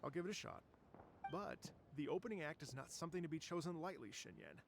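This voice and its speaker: A man voicing a cautious, formal, exacting musician and organizer in a game cutscene, measured, hesitant and creaky. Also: a little high, very animated, slight background noise. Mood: resolved, stern.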